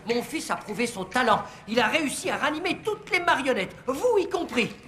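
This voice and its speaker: High, indignant cartoon parent. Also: female voice, lively intonation, brisk, fairly bright tone. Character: indignant, protective parent in a puppet-theatre cartoon. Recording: music underneath.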